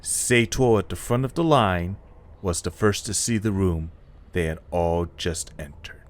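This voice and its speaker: A man's voice: low voice, measured pace. A man reading scripted story narration as the narrator of an audio drama.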